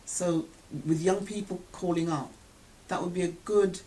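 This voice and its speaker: A woman presenter speaking in a measured way, rephrasing as she goes and appealing to listeners. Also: on the low side, steady tone, dark tone, rough recording. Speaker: interviewer and presenter.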